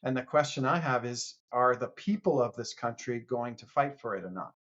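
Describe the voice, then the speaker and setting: A man appearing as a podcast guest, speaking earnestly and haltingly, often restarting in a casual political debate. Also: medium pitch, animated, a bit bright, lo-fi sound.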